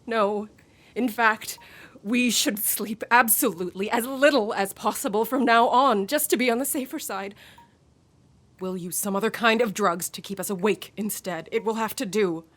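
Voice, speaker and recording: A woman playing a haunted, secretive and gravely urgent intellectual with formal, theatrical diction in a radio horror drama. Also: mid-range voice, moderate pace, a bit bright, lightly acted. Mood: grave, commanding.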